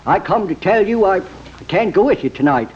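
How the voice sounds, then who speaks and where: A man's voice: high-pitched, expressive, normal speed, a little mellow, slightly rough. An actor playing a folksy, evasive American man with a rustic drawl in an old-time radio comedy. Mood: sheepish, evasive. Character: folksy, evasive older man making flimsy excuses. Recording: dull vintage radio recording.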